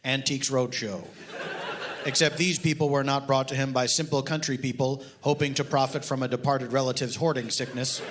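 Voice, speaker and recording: A man giving a deadpan, pompous, mock-serious story reading at a live comedy show. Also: medium pitch, a little fast, background noise.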